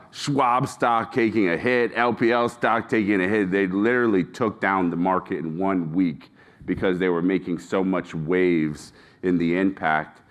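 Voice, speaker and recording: A man hosting a live conference session, introducing the next speaker in an enthusiastic, informal way. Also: low voice. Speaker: event host. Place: conference hall.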